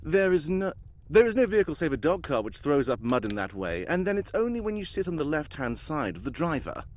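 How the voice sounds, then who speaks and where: Man acting gentleman detective, formal, precise, observant, courteous, widely swinging intonation, radio drama, faint noise and clicks. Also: on the high side, very animated, fairly quick. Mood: assured. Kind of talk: cold-read live old-time radio drama acting.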